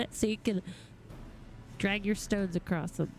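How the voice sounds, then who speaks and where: A woman's voice: medium pitch, fairly even intonation, slow, a little mellow, slight background noise. A woman livestreaming a game with casual, playful, goofy commentary.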